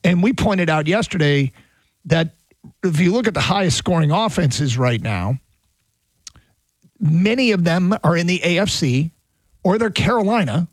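A man with a somewhat high, husky voice hosts a sports radio show. He talks casually and thinks aloud, often restarting his sentences. The sound is dry and close to the mic. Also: lively intonation, measured pace, long pauses, no room sound.

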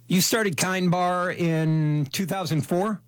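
A male talk show host with a voice a little higher than most men's. He speaks in an interviewer's style, introducing and questioning guests, and the sound is dry with little room in it. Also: steady tone, slow, clean tone, no room sound.

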